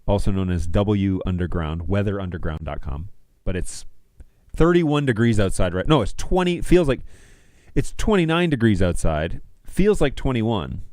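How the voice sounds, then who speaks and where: A man in his thirties with a fairly low voice talks casually to his livestream viewers. He is easygoing and slangy, speaking into a close studio mic in a small room with very little echo. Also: very animated, moderate pace, a little mellow. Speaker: livestream host. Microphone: close studio mic on a stand.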